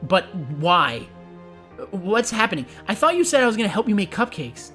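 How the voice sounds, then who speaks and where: A woman voicing a brash, sporty young female pegasus pony, talking quickly. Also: medium pitch, sing-song, a bit bright, soft background music, pitched above the performer's own voice. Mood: worried.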